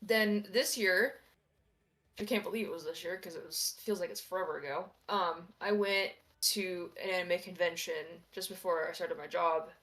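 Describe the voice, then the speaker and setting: A woman's voice: fairly low pitch, normal speed, a bit bright. A woman chatting casually on a livestream, telling personal anecdotes in a rambling, conversational way.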